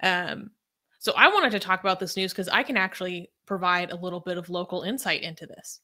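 A woman's voice: fairly low pitch, a little fast, pushed and bright. A young woman talking through a news story casually, hesitant with many ums and likes, on a video call from home. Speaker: co-host.

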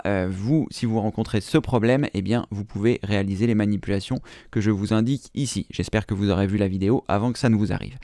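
A man's voice: on the low side, darkish tone, dry recording, studio quality. A man in his thirties hosting a computer tutorial, explaining step by step with patient fillers. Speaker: computer training host. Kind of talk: screen-recorded tutorial.

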